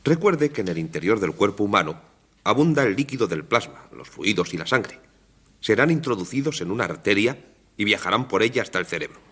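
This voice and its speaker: An actor in a radio drama playing a stern, solemn government chief with a husky voice and formal, commanding delivery. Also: male voice, lowish voice, sing-song, fairly quick, a bit bright, exaggerated voice.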